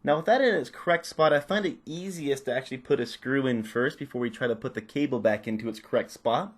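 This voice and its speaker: A man with a medium-pitched voice presents a practical tutorial. He explains each step casually and without a script, talking as he works. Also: fast. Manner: unscripted, narrating while working. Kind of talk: casual step-by-step hands-on instruction.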